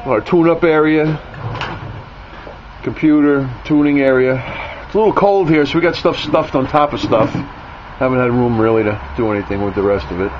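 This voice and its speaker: A man's voice: mid-range voice, normal speed. Guy showing off his radio stuff, joking around, muffled camera mic with noise in the back. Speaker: radio hobbyist giving a garage tour. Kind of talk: casual off-the-cuff narration of a video tour. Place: cluttered garage and radio room, indoors. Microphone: handheld camera's built-in mic. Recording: muffled handheld camera audio with clear noise.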